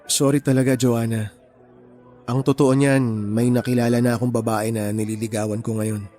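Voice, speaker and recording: Guy acting kind earnest young man in a radio love story, middle pitch, soft music under him. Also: little pitch movement, moderate pace, lightly acted. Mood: gentle, earnest. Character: kind, principled young man gently turning down a friend. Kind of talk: Tagalog radio romance drama acting.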